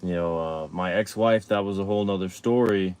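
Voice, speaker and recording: A man's voice: sits low, brisk, a little mellow, clear, resonant tone. A man, chatting casually as host of his own livestream, rambling and reading viewer questions aloud. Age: 30s.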